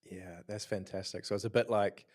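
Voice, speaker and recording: A man with a deep voice hosts a podcast interview. He talks in a relaxed way, thinking aloud as he sums up his guests' points. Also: normal speed. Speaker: podcast host.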